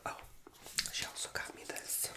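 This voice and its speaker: A man with a nasal voice, whispering an ASMR roleplay as a chatty, rambling school guidance counselor while getting over a cold. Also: normal speed. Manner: chatty, rambling, self-mocking. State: recovering from a cold.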